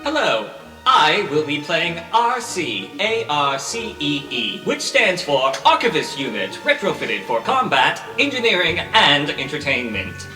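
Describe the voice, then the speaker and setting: A man's voice: on the high side, huge pitch swings, measured pace, sharp tone. A man playing a tabletop game, giving a playful, neatly prepared introduction to a character. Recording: roomy long reverb, faint music.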